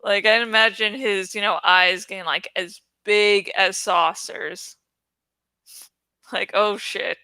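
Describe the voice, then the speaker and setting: A woman's voice: mid-range voice, steady tone, takes it slowly, bright, forceful tone, clean tone, no echo. A woman host chatting in a rambling, informal, giggly way about a book, swearing freely. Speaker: host of a book read-along channel.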